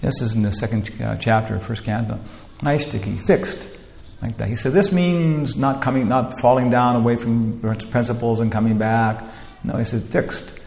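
Male lecturer, casual rambling delivery, gravelly voice, echoey hall. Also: medium pitch, moderate pace, hardly any pauses, darkish tone. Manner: rambling, many "you know" fillers and restarts. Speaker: teacher leading a scripture class. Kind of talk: informal spiritual lecture. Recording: roomy hall with long echo, faint ambience.

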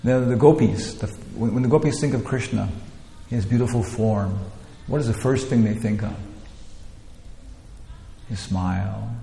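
Male lecturer, casual rambling delivery, gravelly voice, echoey hall. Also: fairly low pitch, a little slow, warm tone, slight background noise. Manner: rambling, many "you know" fillers and restarts. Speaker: teacher leading a scripture class. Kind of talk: informal spiritual lecture. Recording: roomy hall with long echo, faint ambience.

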